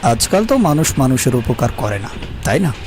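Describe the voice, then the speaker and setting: A man voices a polite, anxious traveller recounting an eerie journey for an animated ghost story. His voice is dark and soft-toned at a medium pitch. Faint music runs underneath. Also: fairly quick, lightly acted. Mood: grateful, conversational. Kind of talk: first-person scripted ghost story narration with dialogue.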